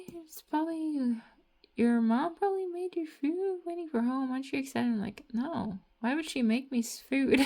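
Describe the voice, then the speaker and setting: A young woman from Latvia with a Latvian accent, vlogging in a slow, halting ramble full of fillers and soft laughs, tired after a night shift. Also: a little high. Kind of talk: rambling casual monologue.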